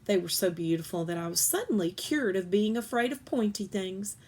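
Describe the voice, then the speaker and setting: Casting: female story reader, medium pitch, expressive read-aloud narration for children. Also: brisk.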